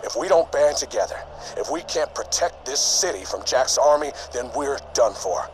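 An actor playing an earnest, resolute military leader with a commanding, solemn way of speaking, in a video game. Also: male voice, medium pitch, animated, moderate pace, noisy background, natural voice. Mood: rousing. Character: earnest, resolute male military resistance leader rallying soldiers. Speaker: game character. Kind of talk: scripted speech in a video game audio log. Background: music.

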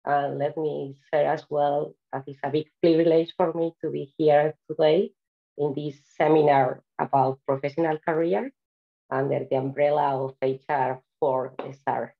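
A woman from Spain with a Spanish accent speaks in a notably low voice, presenting over a video call. She talks in a friendly, chatty way, with frequent "uh" pauses. The audio is muffled and lo-fi. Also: fairly even intonation, vocal fry. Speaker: invited speaker. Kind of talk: online seminar presentation.

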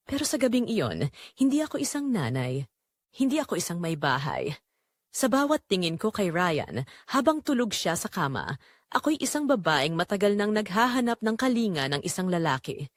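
A woman's voice: medium pitch, dry recording, studio quality. A woman narrating a romance audio drama as a yearning married mother confessing forbidden attraction.

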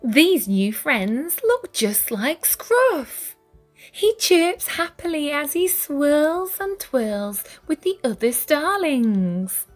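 Very slow, expressive woman storyteller. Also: sits high. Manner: deliberate, dramatic phrasing for young children. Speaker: storyteller reading a children's picture book. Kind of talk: expressive read-aloud storytelling. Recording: music behind the voice. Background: music.

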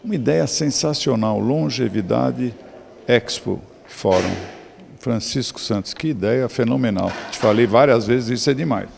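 Gravelly, effusive Brazilian male interviewer. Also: mid-range voice, moderate pace, a little mellow, slight background noise. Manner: effusive, full of superlatives. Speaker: TV show host and interviewer. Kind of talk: on-location interview. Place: wood-panelled event lounge. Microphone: handheld microphone.

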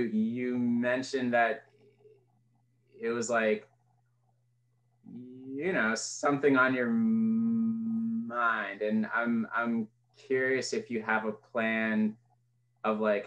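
A young man hosting an interview over a video call, stuttering openly with repeated sounds, casual and relaxed. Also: mid-range voice, dull audio. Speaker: podcast host. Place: small room indoors. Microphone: webcam mic on video call.

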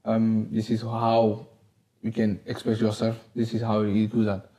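A young man with a French accent, a footballer answering interview questions in halting, simple English with easy laughs, on a lapel mic in a small wood-panelled room. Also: on the low side, completely flat delivery, warm tone. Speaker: footballer guest. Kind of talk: casual interview.

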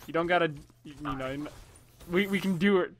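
A young man with a bright, forward voice pitched a little high. He chats casually as a prank caller, rambling along in slangy, pleading phrases. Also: brisk, a little hiss or hum. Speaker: prank caller and video host. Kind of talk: casual prank phone chat.

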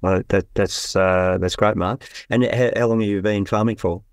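A man's voice: fairly low pitch, fairly even intonation, moderate pace, no echo, clean studio sound. A man hosting a podcast interview, introducing guests and asking questions with frequent um and uh. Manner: many fillers and restarts while introducing guests. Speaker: podcast host.

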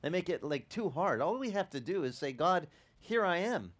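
Pastor in his fifties, slightly high-pitched man, lively off-the-cuff beach sermon on a headset mic. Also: moderate pace. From Italian-American upbringing. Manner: animated, conversational preaching with restarts and asides to the audience. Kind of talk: outdoor sermon. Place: open-air beach tent service.

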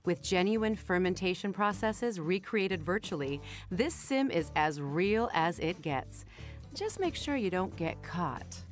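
A woman's voice: lowish voice, animated, unhurried. A woman co-presenting a games showcase with playful, theatrical scripted narration. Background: music.

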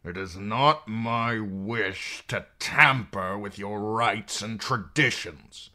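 A man's voice: fairly low pitch, slow, pushed and bright, pitched above the performer's own voice, full character voice. A man voicing a stern, cold middle-aged king with a curt, commanding manner.

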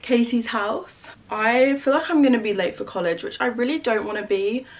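A woman's voice: a little high, moderate pace. Bubbly young woman vlogging at home. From England. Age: young adult. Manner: bubbly, gushing, lots of "like". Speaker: lifestyle vlogger. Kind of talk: casual chat to camera. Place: small home bedroom and kitchen.

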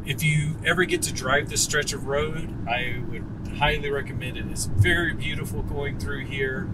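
A middle-aged man driving and explaining things as he goes in a casual, relaxed way, with plenty of fillers. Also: medium pitch. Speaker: vlog co-host and driver. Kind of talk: casual narration while driving. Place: inside a moving car. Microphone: camera mounted on the dashboard. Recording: loud road and wind noise in a car.

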